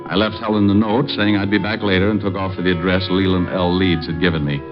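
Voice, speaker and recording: An actor playing a wisecracking hard-boiled private detective, narrating and trading dry quips in 1940s radio style. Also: male voice, low-pitched, little pitch movement, quick pace, lightly acted. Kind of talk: 1940s radio acting with first-person narration. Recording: dull lo-fi old radio recording, faint music.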